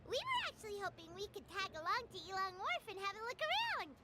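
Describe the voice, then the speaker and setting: A woman voicing a small, perky, easily indignant fairy companion in a video game, with a squeaky childlike voice. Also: huge pitch swings, quick pace, heavy background noise, heavily acted. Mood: cheerful. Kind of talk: video game character acting.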